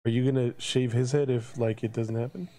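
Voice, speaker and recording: A man's voice: medium pitch, steady tone, brisk, mellow. A man co-hosting a casual podcast chat, joking in an absurd, sweary way. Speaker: podcast co-host.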